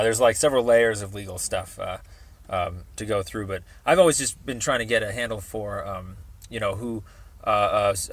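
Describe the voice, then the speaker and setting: Low-voiced, halting American man. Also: a bit bright. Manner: hesitant, rambling, halting delivery thick with ums. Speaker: member of the public calling a city department. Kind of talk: casual phone conversation.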